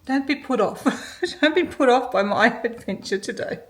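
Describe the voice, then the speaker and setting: Chatty middle-aged Australian woman, rather high. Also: animated, moderate pace. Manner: chatty, rambling, thinking aloud with soft laughs. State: slightly croaky voice. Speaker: vlogger. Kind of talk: casual day-in-the-life vlog narration. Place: home kitchen.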